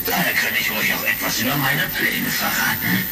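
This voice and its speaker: A man playing a villainous, smug pirate leader in a retro sci-fi radio play, with theatrical, gloating, drawn-out delivery. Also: very deep voice, very animated, vocal fry, full character voice. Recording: echoey, distant, under loud music.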